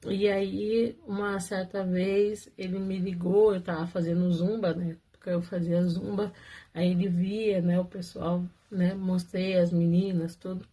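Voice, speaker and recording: A woman's voice: lowish voice, flat delivery, unhurried, warm tone, poor audio. A woman telling viewers her own love story in a casual, chatty way, often laughing and talking straight to them.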